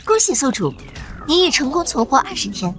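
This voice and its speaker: A woman voicing a formal machine assistant that makes weapons-system announcements in an animated sci-fi comic. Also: high-pitched, fairly quick, faint music behind.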